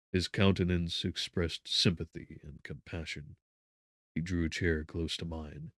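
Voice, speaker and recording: Male audiobook narrator, deep register, dramatic scripted reading of gothic literature, dry intimate sound. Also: no echo.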